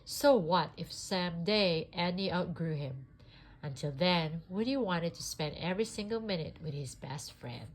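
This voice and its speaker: A sniffly woman reading a children's storybook aloud in an expressive, homemade style. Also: fairly low pitch, normal speed, slight background noise, rough recording.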